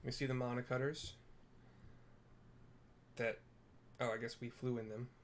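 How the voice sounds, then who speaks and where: A man narrates his game playthrough in a casual, musing way. His voice sits at a medium pitch, with a little noise in the background. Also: little pitch movement, unhurried. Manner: musing, thinking aloud. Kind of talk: casual commentary.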